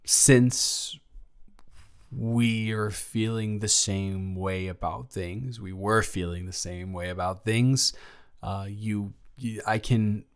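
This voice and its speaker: Man playing a slow, halting lovestruck college guy. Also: lowish voice, steady tone, smooth. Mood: caring, hesitant. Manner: halting, stammering, earnest, wordy. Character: lovestruck, nervous young male college dormmate, earnest and adoring. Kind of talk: intimate romantic roleplay audio drama.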